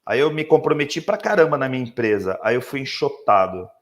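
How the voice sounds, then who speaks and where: Man, Brazilian Portuguese accent, hoarse voice, reflective webinar speaker, computer mic. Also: medium pitch, animated, a little fast. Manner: reflective, lots of tag questions. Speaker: leadership speaker. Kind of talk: live webinar talk.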